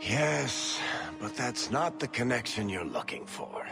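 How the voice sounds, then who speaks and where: A man's voice: mid-range voice, expressive, measured pace, vocal fry. A man playing a wry, sardonic roguish storyteller in a fantasy game, with music behind him. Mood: guarded, wry. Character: wry storyteller, roguish dwarf companion recounting past adventures. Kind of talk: cinematic fantasy game dialogue and narration.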